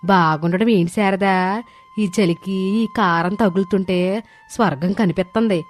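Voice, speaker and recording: A woman voicing a nosy older village neighbour with a sharp, exclaiming, rustic way of talking in a cartoon moral story. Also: medium pitch, fairly even intonation, measured pace, soft background music, close to an everyday voice. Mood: delighted. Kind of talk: dramatised moral story dialogue.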